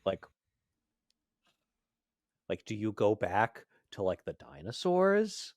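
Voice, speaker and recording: A man on a podcast panel in casual chat, quick to agree and chime in. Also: lowish voice, very animated, no room sound, studio quality.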